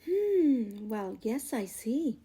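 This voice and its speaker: Woman reading as brisk, high-voiced storybook doctor. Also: sing-song, mellow, breathy edge, full character voice. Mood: pondering. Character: fussy, professional male family doctor.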